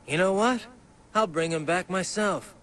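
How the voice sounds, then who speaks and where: Cocky impatient young game character, drawn-out delivery, grumbly n slangy. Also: male voice, high-pitched, fairly bright tone. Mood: exasperated.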